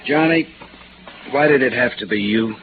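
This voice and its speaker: An actor playing a bitter, lovestruck American embezzler on the run, defensive and resigned, in 1950s radio noir. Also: male voice, mid-range voice, normal speed, close to an everyday voice. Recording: noisy, narrow-band vintage radio recording.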